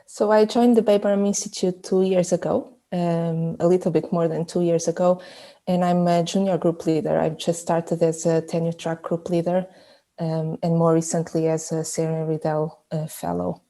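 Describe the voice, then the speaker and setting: Low, flat-voiced woman from Portugal. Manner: measured explaining with frequent restarts and fillers. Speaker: immunologist, research group leader. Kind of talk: interview answers.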